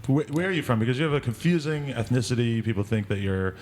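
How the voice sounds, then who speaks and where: A man hosting a studio interview, asking quick follow-up questions in a joking, laid-back way. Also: mid-range voice, a little mellow, slight background noise. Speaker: interview host. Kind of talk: casual interview.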